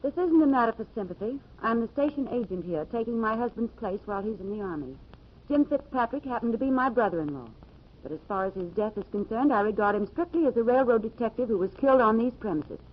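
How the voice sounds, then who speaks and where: Fast-talking, no-nonsense woman station agent. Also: fairly high pitch, expressive. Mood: stern, businesslike. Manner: businesslike, unemotional. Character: brisk, unsentimental female railway station agent. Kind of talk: 1940s radio acting. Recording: muffled old radio recording.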